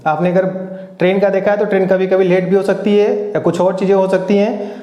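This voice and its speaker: A man's voice: high-pitched, level tone, a little fast, warm tone, roomy sound, lo-fi sound. A man giving informal, instructive advice as an exam coach, repeating his points for emphasis. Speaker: exam coach and channel host.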